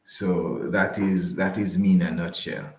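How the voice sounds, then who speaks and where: A man speaking as an interview guest, measured and courteous, with slightly formal wording. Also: low voice, steady tone, reverberant. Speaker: interview guest, financial advisor. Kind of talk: conversational radio interview.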